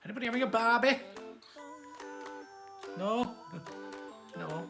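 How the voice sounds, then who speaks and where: A woman's voice: fairly high pitch, lively intonation, unhurried, music in the background, voice pushed up. A woman voicing a small, cheerful, chatty armadillo who hosts a children's bedtime story livestream. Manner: chatty, exclaiming greetings, giggly.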